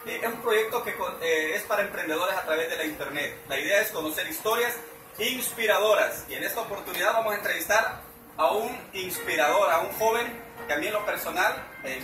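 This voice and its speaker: A middle-aged man from El Salvador hosting a casual interview at home, asking friendly questions with a few fillers. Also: high-pitched. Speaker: interviewer and channel host. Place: living room at home. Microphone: far camera. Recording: roomy, far from the camera mic.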